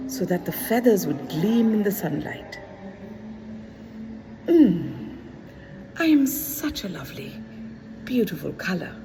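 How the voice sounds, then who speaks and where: A woman teacher reading a children's story aloud to her class in an expressive storybook manner. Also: noisy background. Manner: expressive, slow storybook reading with lively pitch.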